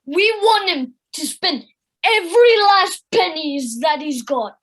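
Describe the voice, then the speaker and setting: A woman's voice: extremely high pitch, expressive, slow, fairly bright tone, exaggerated voice. A woman playing a dim, greedy villain's sidekick in an audio play, with a gormless manner. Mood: gloating, dim. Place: living room, recorded over video call.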